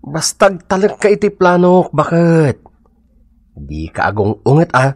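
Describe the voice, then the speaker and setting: A man's voice: fairly high pitch, huge pitch swings, unhurried, no echo, clean studio sound, exaggerated voice. A man acting a scheming, teasing shopkeeper in an Ilocano comedy radio drama, with an Ilocano accent and exaggerated, exclamatory delivery.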